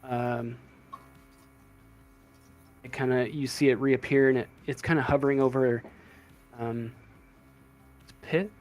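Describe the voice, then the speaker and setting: A man narrating a tabletop role-playing game as game master, describing scenes in halting pieces. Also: medium pitch, steady tone, a little mellow. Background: music in the background.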